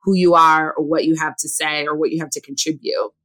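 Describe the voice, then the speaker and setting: A woman's voice: low-pitched, level tone, fairly quick, a bit bright, rough recording. A woman hosting an interview, affirming and reflective, with many "you know"s. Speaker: host.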